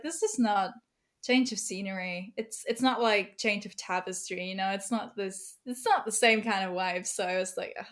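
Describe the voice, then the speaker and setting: A woman from Germany whose first language is German, speaking English with a German accent as a guest writer in a casual podcast chat, full of fillers and laughs. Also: mid-range voice. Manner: chatty, many fillers and laughs.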